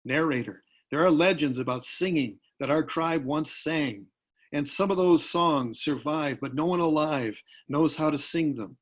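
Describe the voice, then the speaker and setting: A man speaking as a poet at a literary tribute over a video call, talking and reading aloud in an unhurried, deliberate way. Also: on the high side. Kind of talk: literary talk with poetry readings.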